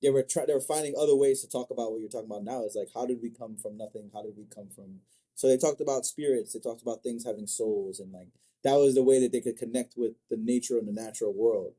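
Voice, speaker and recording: A man from Africa chatting casually as an interview guest, thinking aloud in a halting, word-repeating way. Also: medium pitch, dark tone, slightly rough, low-quality recording. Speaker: manga creator, podcast guest.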